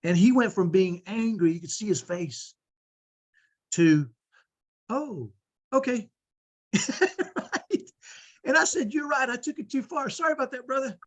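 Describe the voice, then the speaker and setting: A middle-aged man with a strong, powerful voice, coaching parents in an energetic, emphatic way and often checking in with "right?". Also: extremely high pitch, unhurried, pauses often. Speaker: host.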